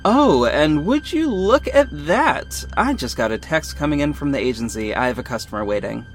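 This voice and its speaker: A man's voice: on the high side, very animated, normal speed, soft background music. A man playing a guarded, wry agency owner who narrates an audio drama in the first person and speaks its dialogue. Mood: tense, polite.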